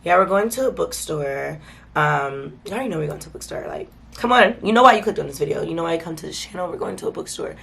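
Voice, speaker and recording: A woman's voice: lowish voice, animated, fast talker, gravelly, a bit bright. A woman vlogger talking casually to her viewers, her voice stuffy and congested from a cold. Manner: chatty, informal, addresses viewers as y'all. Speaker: vlogger host. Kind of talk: casual vlog chat to camera.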